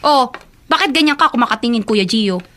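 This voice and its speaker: Shrill, bratty Filipino teenage daughter. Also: female voice, animated, brisk, fairly bright tone, slight background noise. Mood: defensive. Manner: bratty, sarcastic. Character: defiant, spoiled eighteen-year-old daughter. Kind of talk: Filipino radio drama acting.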